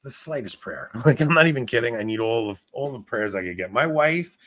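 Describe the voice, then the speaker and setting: A man in his forties with a smoky, gravelly voice, co-hosting a casual chat and telling stories with lots of laughs and restarts. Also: mid-range voice, brisk. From New York. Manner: animated storyteller, laughs often, trails off and restarts. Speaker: podcast co-host.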